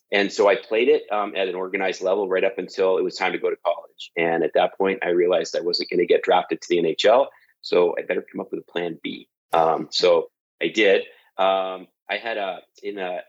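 A Canadian man with a very low voice chats easily about his career, with plenty of ums and uhs. The sound is a little dull and lo-fi, like a remote call from home. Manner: relaxed storytelling with frequent fillers. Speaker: guest, TV sports director. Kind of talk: casual interview talk.

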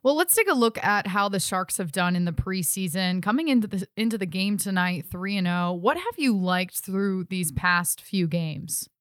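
A woman's voice: mid-range voice, expressive, moderate pace, few pauses, no room sound, studio quality. A woman hosting a sports podcast, presenting team news and putting questions to a guest. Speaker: sports podcast host and interviewer. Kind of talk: scripted sports segment and interview.

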